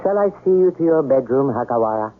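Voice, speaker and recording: A noisy, narrow-band radio drama from the 1970s. A man plays a devoted manservant burdened by guilt, formal and deferential in every measured phrase. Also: mid-range voice, expressive, close to an everyday voice. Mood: deferential, grave. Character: loyal, guilt-ridden Japanese manservant in ghost tale.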